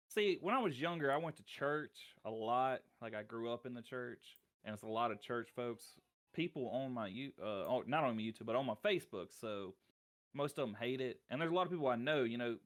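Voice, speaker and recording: Guy on a podcast, medium pitch but swings all over, jokey and sweary. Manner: profane, joking. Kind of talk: casual chat.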